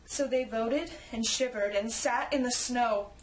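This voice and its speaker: A woman narrating a rhymed children's story in an expressive, theatrical way. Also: on the high side, a little slow, low-quality recording. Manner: theatrical, acts out character lines.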